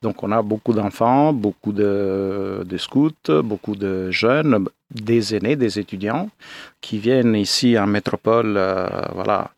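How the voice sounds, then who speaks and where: Male interview guest, late fifties, from Italy. Lowish voice, dry close recording, halting spontaneous delivery. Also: gravelly, dry recording. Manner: hesitant, searching for words.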